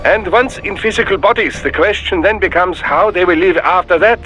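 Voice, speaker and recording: An actor playing an erudite, polite, dryly pragmatic scientist in a video game, speaking formally and precisely. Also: male voice, high voice, fairly quick, fairly bright tone, soft background music, low-quality recording. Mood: dry, measured.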